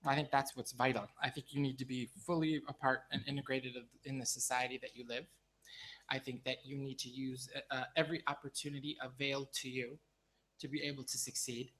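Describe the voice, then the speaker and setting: A man's voice: mid-range voice, flat delivery, fairly quick, a bit bright, slight background noise, low-quality recording. A man speaking on a panel, earnest and repetitive, with many fillers and restarts. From United States. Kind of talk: panel discussion remarks.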